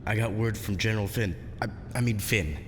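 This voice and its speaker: Guy doing earnest space pilot officer, audio drama, lowish, bit hesitant, big reverb and faint noise. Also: brisk, close to an everyday voice. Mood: tentative. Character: loyal, pleading male military pilot-general. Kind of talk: fan audio drama acting.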